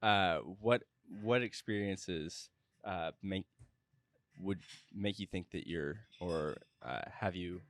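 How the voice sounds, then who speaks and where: A man's voice: low-pitched, slow pace, fairly bright tone, dry recording. A young man hosting a casual interview, asking his questions in a tentative, hesitant way. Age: young adult. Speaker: podcast host and interviewer. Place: small indoor lounge, on a leather couch. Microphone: close podcast microphone with headphones.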